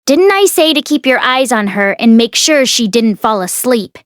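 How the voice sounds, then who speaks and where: A woman's voice: a little high, expressive, normal speed, no room sound, studio quality, close to an everyday voice. A woman voicing a stern male exorcist in an audiobook, curt, grim and demanding. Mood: scolding.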